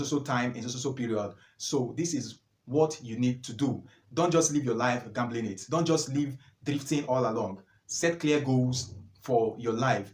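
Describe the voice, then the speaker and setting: A young man of nineteen talks straight to the camera with earnest, preachy conviction. He drives his points home by repeating words and phrases, and his voice sits at an ordinary middle pitch. The room around him is echoey. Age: young adult. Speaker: vlogger. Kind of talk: motivational advice vlog.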